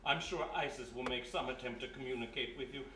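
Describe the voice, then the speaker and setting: A man playing a coldly polite older villain, a scheming gentleman archaeologist, in a live radio mystery. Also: mid-range voice, fast, natural voice. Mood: smug menace. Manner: formal, mock-courteous, menacing. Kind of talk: 1930s-style radio play acting. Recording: echoey, distant live stage, noisy.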